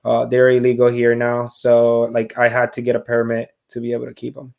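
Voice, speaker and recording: A man from Puerto Rico talks casually as a podcast guest. His pitch is average, and his phrasing is loose and informal, feeling its way through each thought. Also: completely flat delivery, brisk, vocal fry, a little mellow, smooth. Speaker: podcast guest, reptile keeper. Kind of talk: casual chat.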